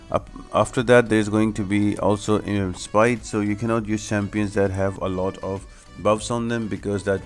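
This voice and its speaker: A man narrating a video game guide, explaining tactics step by step in an instructional way. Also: on the low side. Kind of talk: gameplay walkthrough commentary. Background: background music.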